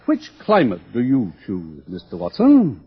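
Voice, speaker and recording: A dull, lo-fi radio drama recording carries an urbane, powerful older ruler. His husky voice swings widely in pitch. He lectures in a pompous, condescending way, entirely sure of himself. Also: wildly swooping pitch, unhurried, exaggerated voice. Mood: reflective, lecturing. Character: urbane, smug, powerful older male world controller. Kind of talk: theatrical radio drama acting.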